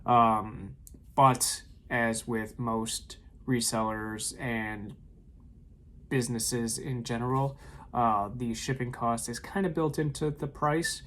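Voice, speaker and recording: Regular guy chatting about his ebay sales at home, relaxed, says um a lot, medium voice, little bit of room hiss. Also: mid-range voice, takes it slowly. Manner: relaxed, frequent ums and uhs. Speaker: eBay reseller vlogger. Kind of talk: casual recap of sales. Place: home room with shelves of tapes.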